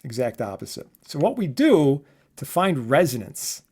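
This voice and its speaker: Male professor, mid-pitched voice, conversational lecture delivery with frequent check-in tags. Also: very animated, moderate pace, a little mellow, slightly rough. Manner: conversational, often adding check words like "right?" and "okay?". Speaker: electronics professor giving a lesson. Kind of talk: informal lecture explaining equations.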